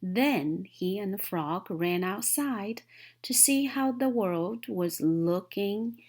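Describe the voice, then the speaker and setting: A woman's voice: medium pitch, animated, takes it slowly, a little mellow. A woman narrating a children's story from a book, as a read-aloud. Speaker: storybook reader.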